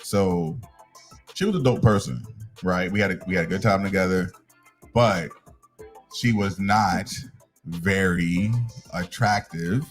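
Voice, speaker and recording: A man's voice: low-pitched, unhurried, long pauses, faint music behind. A man in his thirties co-hosting a podcast, blunt and teasing in casual slangy talk. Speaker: podcast co-host. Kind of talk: casual chat.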